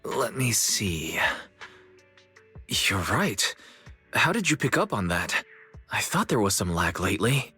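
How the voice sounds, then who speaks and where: A man's voice: lowish voice, very animated, slow, pauses often, pushed and bright, exaggerated voice. An actor playing an earnest, easygoing young man in a video game, speaking politely and conversationally. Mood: friendly, curious. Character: kind, earnest young male shopkeeper and secret guide, easygoing. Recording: music under the voice.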